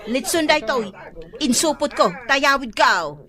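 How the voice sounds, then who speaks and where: Actress as a chatty, stingy Ilocano neighbor woman in a radio play; higher-pitched, animated. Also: a little slow, some chatter behind, heavily acted. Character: gossipy, stingy neighbor woman, middle-aged. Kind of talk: radio drama acting.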